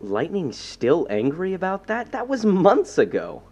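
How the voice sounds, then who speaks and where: A man's voice: sits high, wildly swooping pitch, unhurried, darkish tone, heavily acted. A man playing a cocky, reckless supervillain in an audio drama, with a breezy, teasing delivery.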